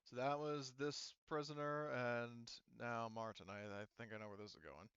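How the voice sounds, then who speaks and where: A man's voice: medium pitch, steady tone, normal speed, fairly bright tone, clean tone, no room sound, lo-fi sound. A man acting as game master, casually narrating a tabletop game and talking through the rules.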